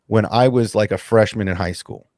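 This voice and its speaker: A man's voice: lowish voice, moderate pace, a little mellow, no echo, studio quality. A man of forty on a podcast panel, talking with slangy enthusiasm. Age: 40s. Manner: enthusiastic, slangy, fan talk. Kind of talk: casual podcast chat.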